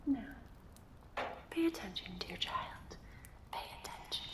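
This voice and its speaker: A woman's voice: mid-range voice, animated, a little slow, roomy sound, lightly acted. A woman voicing a playful, teasing ghost who poses riddles in an ASMR roleplay, repeating words over and over in a coaxing way. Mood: mysterious, coaxing. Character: playful, teasing female ghost guarding a secret passage with riddles.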